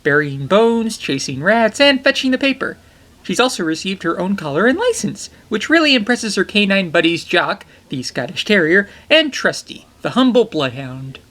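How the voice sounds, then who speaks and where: A man's voice: high voice, big pitch swings, moderate pace, fairly bright tone. A young man narrating a comedic film review from a small bedroom, with jokey, enthusiastic asides.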